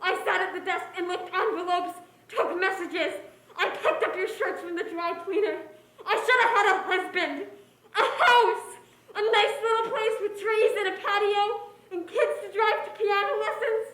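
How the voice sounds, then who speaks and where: A woman's voice: very high voice, normal speed, a bit bright. A woman acting a bitter, lovelorn secretary to a detective in an emotional, accusing noir stage play. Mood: bitter, wounded. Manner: emotional, accusing, dramatic delivery. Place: indoor stage, roomy echo. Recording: echoey stage recording of a school play.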